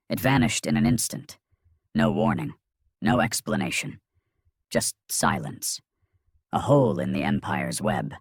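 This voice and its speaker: A man's voice: medium pitch, gravelly, slightly rough, no echo, studio quality. A man narrating a dramatic science fiction story in a grave, ominous manner with short, emphatic phrases.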